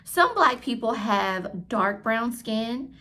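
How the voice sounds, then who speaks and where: Woman voicing a storybook dad, serious, instructive, matter-of-fact, slow delivery. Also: on the high side, expressive, bright, forceful tone, full character voice. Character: serious explaining father in a storybook.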